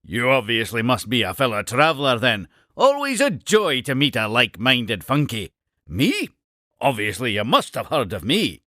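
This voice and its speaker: A man's voice: medium pitch, very animated, normal speed, pushed and bright, no echo, clean studio sound. A man voicing an eccentric, boastful explorer and inventor creature in a video game, with a Scottish accent and a theatrical salesman's manner. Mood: jovial, boastful. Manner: theatrical, showman-like, chuckling salesman patter. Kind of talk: scripted video game character speech.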